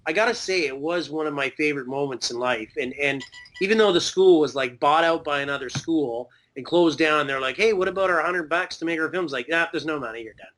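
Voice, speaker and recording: A man's voice: on the high side, a little fast, a bit bright, poor audio. A man in his thirties, a podcast guest, rambling in casual chat with lots of fillers and casual swearing. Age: 30s.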